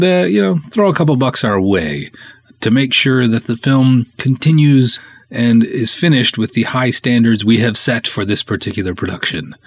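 Man, deep husky voice, podcast host, casual solo talk, enthusiastic, emphatic. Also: dry recording. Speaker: podcast host, film writer-director.

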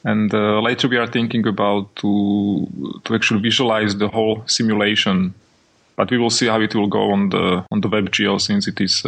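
A young man from Slovakia with a Slovak accent, a podcast guest answering questions in halting English and searching for words. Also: lowish voice, vocal fry, fairly bright tone, breathy edge. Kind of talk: podcast interview.

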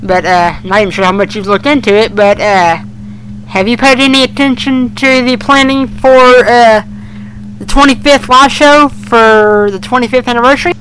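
A man co-hosting a casual fan podcast in a low-key, noncommittal, folksy way. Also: fairly even intonation, moderate pace, a bit bright, rough recording. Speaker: podcast co-host. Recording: amateur podcast with humming noise.

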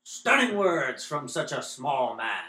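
A man playing an excited, grandiose horse-race announcer in a radio play. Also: mid-range voice, slow pace, full character voice. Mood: announcing. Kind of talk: old-time sports commentary in a radio play. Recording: roomy, echoing recording.

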